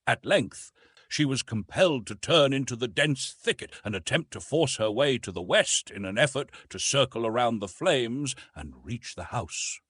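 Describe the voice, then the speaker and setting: A man's voice: medium pitch, animated, moderate pace, fairly bright tone, husky, no room sound. A man reading an adventure novel aloud as dramatic scripted narration. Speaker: audiobook narrator.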